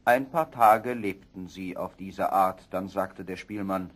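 Husky male fairy-tale narrator. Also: low voice, a little slow. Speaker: storyteller.

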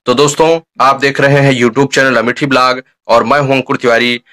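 A man's voice: mid-range voice, fairly even intonation, normal speed, a bit bright. A young man presenting a vlog introduction to camera as host, recorded indoors on a phone. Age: young adult. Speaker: YouTube vlogger, host. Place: dark room indoors.

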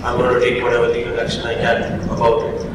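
A man's voice: medium pitch, completely flat delivery, quick pace. A man moderating a conference panel, talking casually into a handheld microphone on a hall stage. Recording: echoey hall, distant mic, noisy.

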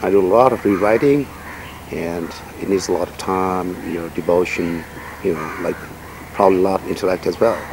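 A middle-aged man whose first language is Nepali, answering interview questions about his writing in a measured, reflective way with many "you know" fillers, seated outdoors in a garden. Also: low voice. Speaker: poet and writer, interview guest. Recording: outdoor recording with noticeable background noise.

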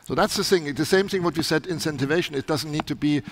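A man's voice: on the high side, quick pace. A middle-aged man speaking on a conference panel with a headset mic on a large stage, earnest and often restarting his sentences. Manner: earnest, with frequent restarts in non-native phrasing.

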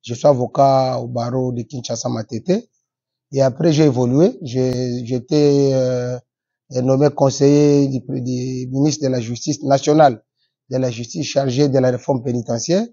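A man in his forties with a Congolese French accent speaks in a formal, measured way, pausing often on "euh". His voice is very dark and husky, and the recording sounds dull and lo-fi. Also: mid-range voice, steady tone. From Democratic Republic of the Congo. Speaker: politician guest. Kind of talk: interview answers.

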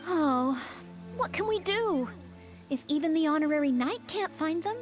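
Game role: polite young maid, high animated voice, formal and gentle, faint music behind. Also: female voice, normal speed. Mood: concerned. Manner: formal, courteous, gentle, dutiful. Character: polite, earnest young maid devoted to serving guests. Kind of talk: scripted video game voice acting.

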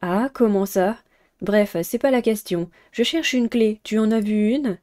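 A woman's voice: medium pitch, measured pace, warm tone, natural voice. A woman voicing a curious, hesitant new schoolgirl, the heroine of a visual novel game.

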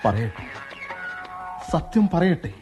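A man's voice: a little high, big pitch swings, takes it slowly, dull audio, music in the background. An actor playing a playful, stubborn young husband who teases and coaxes his wife. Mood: teasing. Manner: teasing, cajoling, mock-threatening. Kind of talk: radio play acting.

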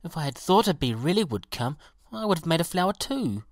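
A woman's voice: sits low, very animated, a little fast, no echo, clean studio sound, pitched above the performer's own voice, exaggerated voice. A woman voicing a gloomy, self-pitying pansy flower in a children's story, grumbling and dramatic. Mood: sour.

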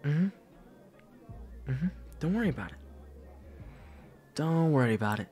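A young man playing a caring, teasing, shy best friend in an intimate ASMR roleplay, speaking softly and hesitantly. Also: on the high side, expressive, measured pace, soft background music. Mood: gently reassuring. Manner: soft, hesitant, stammering, breathy sighs and chuckles.

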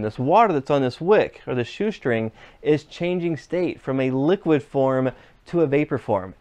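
A man's voice: medium pitch, darkish tone. Man teaching a hands-on trade class. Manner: explains step by step, asks the viewer questions. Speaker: HVAC instructor. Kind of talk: classroom demonstration lesson. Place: classroom workshop with equipment, indoors. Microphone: fixed camera, standing at mid distance.

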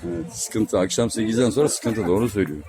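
A man's voice: on the low side, fast, crowd noise. A man vlogging a casual walking tour through city streets, joking with his friends and talking to the viewers. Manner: joking, laughs often, talks to the viewers.

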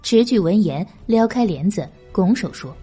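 A woman's voice: medium pitch, lively intonation, moderate pace, dark tone, breathy edge. A woman narrating a historical novel in scripted audiobook style. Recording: background music. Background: music.

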